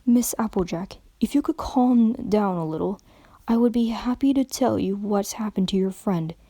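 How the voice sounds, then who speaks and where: A woman voicing a calm male pony doctor who speaks in a measured way. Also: mid-range voice, no room sound, lightly acted.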